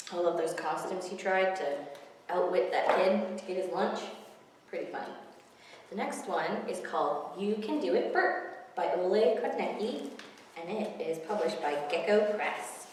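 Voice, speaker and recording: Female children's story time reader, expressive, mid-range voice. Very reverberant recording with faint noise. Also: fairly low pitch. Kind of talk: expressive picture-book read-aloud for children. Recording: very echoey, distant mic, faint noise.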